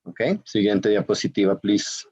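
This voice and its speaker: A man's voice: lowish voice, monotone, measured pace, a little mellow, husky, lo-fi sound. A Colombian man giving an online programming class, with a slightly unusual Spanish accent, chatty and full of fillers and little check-in questions. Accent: slightly foreign-sounding Spanish. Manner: chatty, self-interrupting, many fillers and tag questions. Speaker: university lecturer. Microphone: video call.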